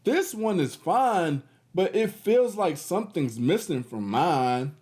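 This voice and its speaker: A man voicing a picky but polite female cat from a picture book, gently critical. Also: sits high, animated, slow pace. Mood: politely critical.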